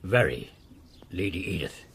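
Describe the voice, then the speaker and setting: An actor playing an anxious, rule-abiding village postmaster in a period radio drama, polite and formal, with an English accent. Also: male voice, sits low, expressive, a little slow, a bit bright, husky, heavily acted. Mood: cowed, polite.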